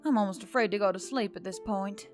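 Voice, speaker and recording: A woman's voice: medium pitch, quick pace, darkish tone, close to an everyday voice. A woman voicing a reluctant, exasperated young heroine in a fantasy story, wry, casual and sweary. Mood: weary, reluctant. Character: Emily, reluctant, exasperated, sarcastic human heroine. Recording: background music under the voice.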